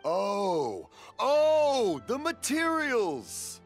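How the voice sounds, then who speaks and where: A man's voice: very high-pitched, wildly swooping pitch, drawn-out words, exaggerated voice. An actor voicing a kindly, hard-of-hearing old craftsman in a video game, jolly and a little befuddled. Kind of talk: English video game voice acting. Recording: music behind the voice.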